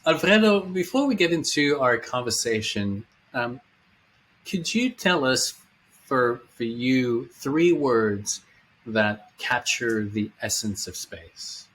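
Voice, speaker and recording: A man's voice: medium pitch, expressive, takes it slowly, fairly bright tone, slight background noise, rough recording. A man hosting an informal podcast, welcoming his guest and asking questions, often restarting his sentences mid-question. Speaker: podcast host. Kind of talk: casual podcast interview.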